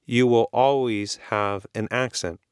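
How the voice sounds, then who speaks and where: A man with a Californian accent, explaining things slowly and in plain words as an English teacher, repeating his key points. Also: mid-range voice, steady tone, smooth, no echo, clean studio sound. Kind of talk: slow, simple explanation for learners.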